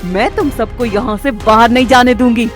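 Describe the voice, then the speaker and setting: Cruel storybook witch, played by a woman, loud gloating menace, music behind. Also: on the high side, normal speed, close to an everyday voice. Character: cruel gloating witch who eats forest animals. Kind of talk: Hindi animated moral story acting.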